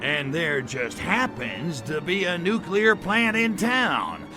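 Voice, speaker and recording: A cocky alien invader from a video game, drawling slowly with sardonic swagger. The pitch sits a little high and swings widely, over prominent background music. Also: male voice, big pitch swings. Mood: flirty, sly. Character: cocky, lecherous, wisecracking male alien invader. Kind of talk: video game cutscene acting.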